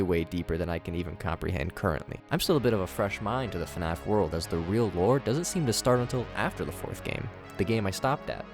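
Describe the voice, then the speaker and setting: A man giving scripted video commentary as the host of the show. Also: low voice. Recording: music under the voice. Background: background music.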